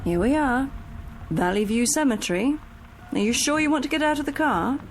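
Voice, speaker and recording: A woman's voice: high-pitched, animated, normal speed, slight background noise. An actress playing a fussy, fretful woman who looks after an invalid in a vintage television drama. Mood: exasperated. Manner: scolding, fretful. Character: fussy, exasperated female companion to an invalid. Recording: vintage TV soundtrack, faint music behind.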